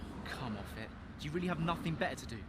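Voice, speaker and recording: A man's voice: fairly high pitch, lively intonation, very fast, close to an everyday voice. A man playing an earnest, easily frustrated young rookie racing driver in a video game, sounding defensive. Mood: angry. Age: young adult. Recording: noisy outdoor paddock with vehicles.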